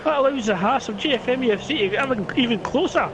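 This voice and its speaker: A man calling an online racing league as lead commentator, his voice going hoarse from the action. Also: very high-pitched, background noise. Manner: fast play-by-play, rising excitement in battles. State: losing his voice.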